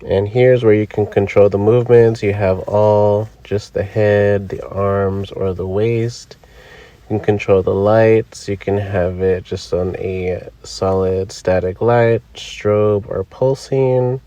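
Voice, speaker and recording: A man hosting a Halloween channel, casually explaining a product setup step by step in a relaxed voice-over. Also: fairly low pitch, takes it slowly, dry recording, muffled recording. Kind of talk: casual product review and demo. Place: indoors, voice-over recorded apart from the footage.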